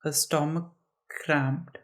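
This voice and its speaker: An author reading their own story aloud in a slow, halting way, often stopping for chatty asides. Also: medium pitch, steady tone.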